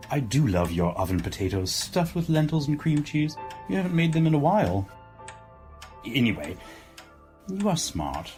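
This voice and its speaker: A man playing a weary, flattering, wistful president in an audio drama. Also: mid-range voice. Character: weary, wine-drinking male president, flattering and maudlin.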